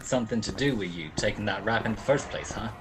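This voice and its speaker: A man playing a world-weary, sardonic private detective in a 1940s-style radio play, with a wry, curt, deadpan delivery. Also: lowish voice, fast, music in the background, poor audio. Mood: dry, dismissive. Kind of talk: 1940s detective radio play acting with first-person narration.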